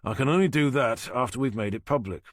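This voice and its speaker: A man's voice: medium pitch, quick pace, dry recording, studio quality, pitched above the performer's own voice, lightly acted. A man voicing a curt, stonewalling male police officer.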